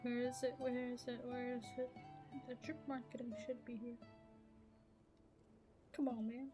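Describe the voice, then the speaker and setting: A fairly high-voiced woman whose first language is Arabic rants casually to her viewers. She rambles and sounds exasperated, cutting herself off and restarting her sentences. Soft music plays quietly underneath. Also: little pitch movement, normal speed. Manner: rambling, exasperated, keeps breaking off mid-sentence. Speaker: gaming vlogger. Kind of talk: casual rant to camera.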